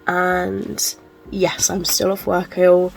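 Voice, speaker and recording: A woman's voice: on the low side, monotone, slow pace, vocal fry, low-quality recording. A woman chatting casually to camera as a book vlogger, sounding chesty and congested, rambling with lots of ums and likes. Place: at home.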